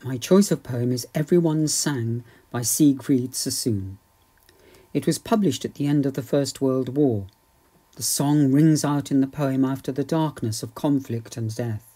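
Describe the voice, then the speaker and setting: Mellow guy, mid pitch, reading out a prepared poem intro, measured n formal. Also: slightly rough. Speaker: church member introducing a poem. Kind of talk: scripted spoken introduction to a poem.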